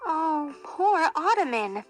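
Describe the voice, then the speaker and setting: A woman's voice: sits high, sing-song, slow, faint music behind, pitched above the performer's own voice, full character voice. A woman voicing a kind, brave, impulsive young heroine in a storybook, earnest and exclamatory. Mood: concerned.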